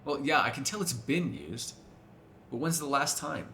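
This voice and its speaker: A man's voice: mid-range voice, big pitch swings, normal speed, pushed and bright, a little hiss or hum. A man playing a sardonic, teasing, foul-mouthed vampire in an ASMR roleplay, talking casually to one listener. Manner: casual, teasing, sarcastic, swears freely.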